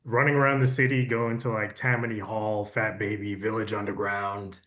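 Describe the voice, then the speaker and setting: A man's voice: lowish voice, flat delivery, normal speed, fairly bright tone. A man speaking as a producer and musician, reflecting casually and personally in a conversation.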